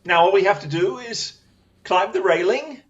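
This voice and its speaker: An actor playing a brilliant, wry, self-assured Victorian detective in an audio drama, with a crisp, theatrical, commanding manner. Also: medium pitch, lively intonation, normal speed, muffled recording, natural voice. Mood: brisk, commanding.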